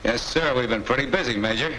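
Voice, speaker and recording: A man plays a naive captured bomber sergeant who answers with respectful hesitation. His voice is bright and medium in pitch. The old 1940s film track is clearly noisy. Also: quick pace, natural voice. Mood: deferential. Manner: deferential, hesitant.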